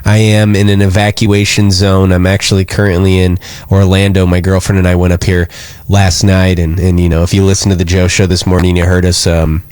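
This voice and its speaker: A man's voice: sits low, little pitch movement, brisk, darkish tone, smooth, dry recording, studio quality. An American man hosting a live radio show, talking casually and earnestly in a rambling, concerned way.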